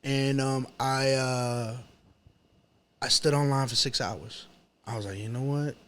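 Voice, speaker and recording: A man's voice: medium pitch, steady tone, a little slow, a bit bright, clean tone. A man with a New York accent chatting casually as an interview guest, telling stories in slangy, repetitive phrasing. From New York City, United States. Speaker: guest, rapper. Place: apartment.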